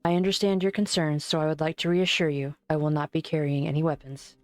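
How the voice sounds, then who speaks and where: A woman voicing a composed, low-voiced doctor. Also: level tone, fast talker, dry recording, faint music behind, natural voice. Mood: steady. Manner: composed, polite and firm. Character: calm, formal female doctor. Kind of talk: amateur fan dub acting.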